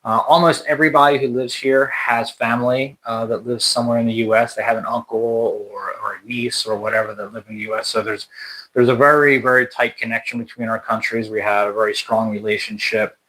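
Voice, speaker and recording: A man's voice: medium pitch, fairly even intonation, fairly quick, fairly bright tone, poor audio. An American man hosting a casual livestream chat, talking in a rambling way with many restarts and fillers. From United States. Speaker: channel host. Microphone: livestream mic.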